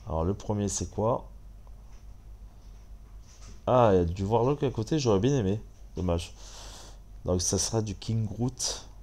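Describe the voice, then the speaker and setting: A man's voice: lowish voice, unhurried, pauses often. A man with a French accent and a stuffy head cold, chatting casually as he comments on a mobile game. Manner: relaxed, chatty, many fillers. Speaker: gaming channel host.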